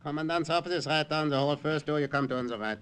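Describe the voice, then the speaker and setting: High-pitched, sardonic German guard, acted by a man. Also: fast talker, natural voice. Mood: mocking. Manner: brusque, bossy, mocking. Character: gruff, sardonic male Gestapo guard. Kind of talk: 1940s radio acting. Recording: very noisy old radio recording.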